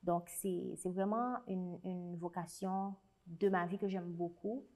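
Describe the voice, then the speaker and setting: A woman's voice: lowish voice, steady tone, slow, a little mellow. Earnest Haitian woman recalling her life. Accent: Haitian French. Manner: earnest, reflective, with frequent restarts. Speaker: guest, writer and special educator. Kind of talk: conversational interview.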